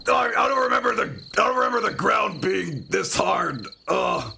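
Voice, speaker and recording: A man voicing a foul-mouthed, irritable cartoon drug dealer creature with a gravelly voice in a parody dub. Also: medium pitch, moderate pace, noisy background. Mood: pained, exasperated. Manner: exasperated, swearing.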